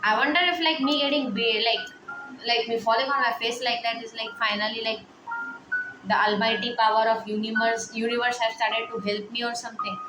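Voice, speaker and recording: A woman's voice: on the high side, fairly even intonation, a little fast. Rambling young woman, echoey room. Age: 20s. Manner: rambling, hesitant, heavy on fillers; quietly upset, reflective. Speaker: vlogger. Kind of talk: personal confessional vlog. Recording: echoey room with music playing behind.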